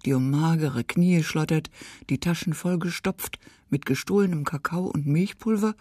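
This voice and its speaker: Woman reading a book review for radio, raspy gravelly voice, dry close sound. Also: fairly low pitch, warm tone, no room sound.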